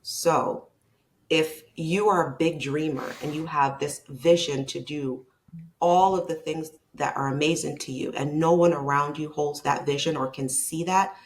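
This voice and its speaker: A woman's voice: low voice, steady tone, measured pace, slight background noise. A woman from Barbados, a leadership consultant, talking as a guest in a conversational podcast interview, reflective and emphatic.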